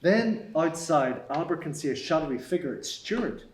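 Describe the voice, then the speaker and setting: A man reading stage directions aloud at a live table read, even and matter-of-fact, in an echoey theatre. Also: fairly high pitch, moderate pace. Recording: echoey hall.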